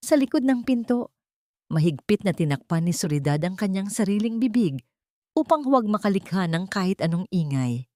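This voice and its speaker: A woman's voice: medium pitch, sing-song, normal speed, darkish tone, no room sound, studio quality. A woman storyteller reading a scripted dramatic narration.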